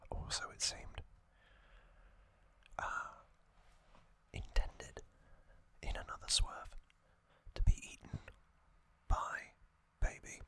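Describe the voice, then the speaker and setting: An ASMR host whispering a recap in a breathy, unvoiced whisper, in short halting phrases with pauses. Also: fairly bright tone. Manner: slow, halting, pausing between short phrases.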